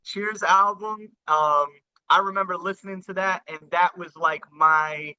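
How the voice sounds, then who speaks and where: A man in his thirties with a very bright, tense voice that sits fairly high. He talks earnestly in a casual interview, stopping and restarting often and leaning on "you know." The sound is dull and lo-fi, as if over a remote call. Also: steady tone, measured pace, smooth. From New York and New Jersey area. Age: 30s. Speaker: rapper guest.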